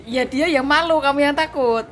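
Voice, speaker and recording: A woman's voice: sits high, moderate pace. A woman in casual chat, asking curious questions and teasing the others. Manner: curious, asks questions and teases. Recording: noisy background.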